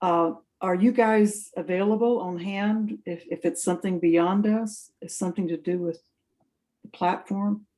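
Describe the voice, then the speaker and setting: A woman's voice: medium pitch, fairly even intonation, a little slow, warm tone. A woman on a video call asking follow-up questions, hesitant and stumbling. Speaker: convention planning team member.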